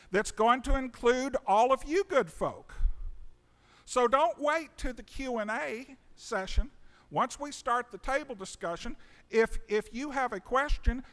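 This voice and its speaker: A man moderating a panel from a podium in a museum auditorium. He speaks slowly in a high voice, joking and halting, with plenty of uhs. Long reverb from the hall trails his words. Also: fairly bright tone. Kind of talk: folksy conference introduction. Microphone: podium microphone.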